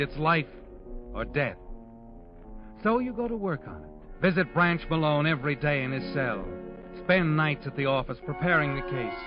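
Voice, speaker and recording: An actor playing a sinister, all-knowing narrator who taunts a guilty man in a 1940s radio crime drama, speaking ominously and deliberately. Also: on the high side, natural voice. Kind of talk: 1940s radio mystery narration in second person. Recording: muffled old radio recording with music.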